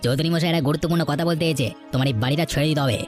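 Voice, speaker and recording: A woman's voice: sits low, racing, a little mellow, faint music behind, close to an everyday voice. A woman voicing a sly, menacing landlord in a cartoon folktale, threatening and condescending. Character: menacing, sly landlord man demanding a house. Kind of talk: Bengali cartoon folktale voice acting.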